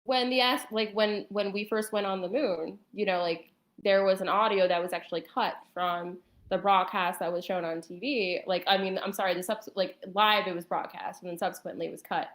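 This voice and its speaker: A woman's voice: medium pitch, fairly even intonation, fairly quick, hardly any pauses, a bit bright. Woman chatting in an echoey room. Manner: hesitant, filler-heavy, says like often. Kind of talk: casual chat. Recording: roomy, echoing sound.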